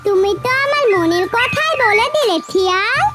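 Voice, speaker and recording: A tiny cartoon monkey with an extremely high, bouncy voice. It is childlike, eager and cocky, with loud music underneath. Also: female voice, expressive, moderate pace. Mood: eager, boastful. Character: small nimble monkey, chirpy, boastful. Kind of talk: animated fable dialogue.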